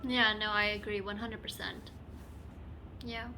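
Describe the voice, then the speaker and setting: A woman co-hosting a podcast, chatting in an animated way and dropping in the odd Urdu phrase. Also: medium pitch, measured pace, a little hiss or hum, rough recording. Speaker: podcast co-host. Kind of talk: casual chat.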